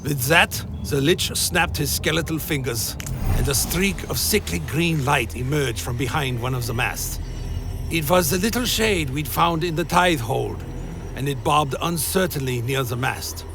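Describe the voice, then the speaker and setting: A man's voice: on the high side, expressive, unhurried, gravelly. A man playing a brooding, bloodthirsty undead vampire fledgling, narrating a gothic radio play in a solemn, literary manner. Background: music.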